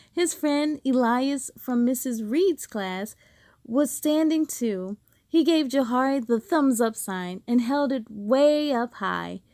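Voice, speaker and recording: A woman reading a children's book aloud with expressive storytelling and dramatic emphasis. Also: high voice, dry recording, clean studio sound. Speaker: storybook reader.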